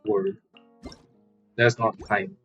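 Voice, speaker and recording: A man's voice: lowish voice, little pitch movement, drawn-out words, fairly bright tone, dull audio. A man streaming a video game with casual commentary, muttering short reactions to himself. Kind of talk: casual let's play commentary. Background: faint game music.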